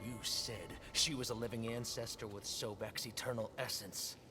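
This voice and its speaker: An actor playing a pompous cult leader in a video game, who believes himself a reborn saviour and declaims grandly. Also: male voice, mid-range voice, fairly even intonation, noisy background, close to an everyday voice. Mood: impatient, imperious. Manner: grandiose, slow, commanding declamation. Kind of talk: theatrical video game acting.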